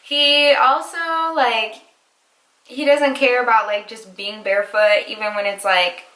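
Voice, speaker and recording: A woman's voice: fairly high pitch, steady tone, measured pace, sharp tone. A young woman from the United States chatting casually with her husband on her vlog, rambling and laughing often. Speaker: vlogger. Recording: echoey room.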